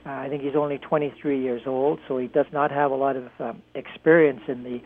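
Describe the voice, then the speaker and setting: A man analyzing baseball and hockey as a guest, measured and hesitant with many fillers. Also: mid-range voice, level tone. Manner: measured, hesitant, lots of uhs. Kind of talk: phone-in sports analysis. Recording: tinny phone line.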